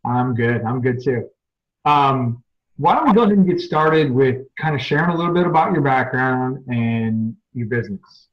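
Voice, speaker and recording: A man's voice: medium pitch, animated, moderate pace, muffled recording. A man hosting an interview, asking his guest questions in a friendly, encouraging way. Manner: friendly and encouraging, with short prompts. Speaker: interview host. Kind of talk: casual interview.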